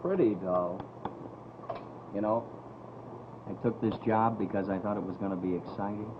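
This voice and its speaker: A bored, grumbling American cop on the night shift , acted by a man. His voice is on the low side and laconic, like casual old radio drama, through a dull recording with clear hiss. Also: moderate pace, close to an everyday voice. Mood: bored, sardonic.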